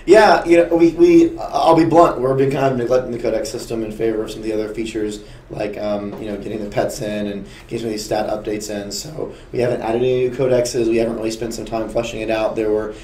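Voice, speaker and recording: A man with a gravelly, injured voice talks through questions candidly on a game developer stream, restarting his sentences now and then. His tone is dark and mellow. The room echoes, with faint noise behind him. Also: fairly low pitch, steady tone, fast, hardly any pauses. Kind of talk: casual dev stream chat.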